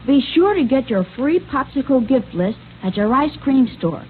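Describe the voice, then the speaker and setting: A woman's voice: sits high, animated, moderate pace. A woman delivering an eager, scripted 1930s radio advertisement to children. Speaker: radio ad pitch partner. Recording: scratchy, tinny old radio recording.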